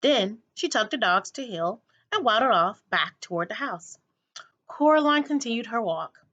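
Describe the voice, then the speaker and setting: A woman narrating a children's book aloud in an expressive read-aloud style, like a teacher to a class. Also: on the high side, moderate pace, dull audio.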